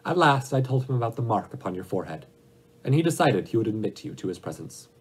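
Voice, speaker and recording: A man's voice: medium pitch, sing-song, fast talker, breathy edge, poor audio. A man playing a talkative palace soldier, reporting in an emphatic, explanatory manner. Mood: eager reporting.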